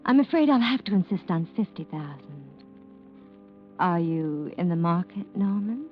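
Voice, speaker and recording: Through a muffled old radio broadcast with clear music behind comes an actress as a wealthy, scheming widow. She speaks with lively, swooping intonation and polished, coy sweetness, in the style of 1940s mystery drama. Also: fairly low pitch, moderate pace, close to an everyday voice. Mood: coy, calculating. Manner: coy, polished, mock-innocent. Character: Janet, coolly scheming wealthy widow, feigning sweet helplessness.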